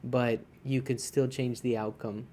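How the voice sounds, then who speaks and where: A man co-hosts a podcast in casual chat. His voice sits at a middle pitch with a warm, mellow tone. He talks conversationally, often checks in with his partner and restarts his sentences as he goes. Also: level tone, measured pace, a little hiss or hum. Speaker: podcast co-host.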